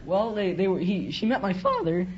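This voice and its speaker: A fourteen-year-old American boy with a breaking voice, answering haltingly as a quiz contestant. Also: sits high, very animated. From Los Angeles, United States. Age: 14, teenage boy. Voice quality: breaking adolescent voice. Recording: dull vintage radio, noisy, studio audience.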